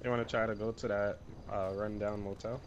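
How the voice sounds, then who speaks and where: A man with a fairly low voice gives casual tips and callouts to fellow players in an online game. Clear noise and game sounds sit behind his voice. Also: level tone, a little fast, muffled recording. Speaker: player in a group gaming session. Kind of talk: casual multiplayer game chatter.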